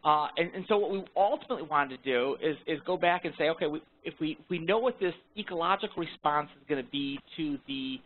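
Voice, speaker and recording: A man giving an informal technical presentation on a conference call, halting, often repeating and rephrasing his words. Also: fairly high pitch, phone-quality sound. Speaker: research presenter. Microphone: phone conference call. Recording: thin, band-limited phone conference line.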